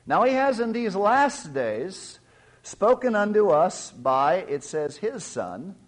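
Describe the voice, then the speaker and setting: A man's voice: sing-song, slow, low-quality recording. A middle-aged man preaching a sermon, reading scripture aloud and explaining its old words as he goes. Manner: pitch rises sharply and louder when stressing verses. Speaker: pastor.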